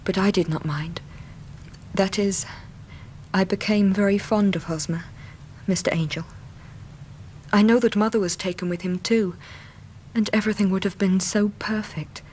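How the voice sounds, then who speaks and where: A woman's voice: medium pitch, fairly even intonation, moderate pace, pauses often, darkish tone, a little hiss or hum, close to an everyday voice, poor audio. An actress playing an earnest, trusting young Victorian lady in a radio drama, speaking politely and properly. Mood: wistful, earnest. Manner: polite, proper, eager to please. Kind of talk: period radio drama acting. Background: music.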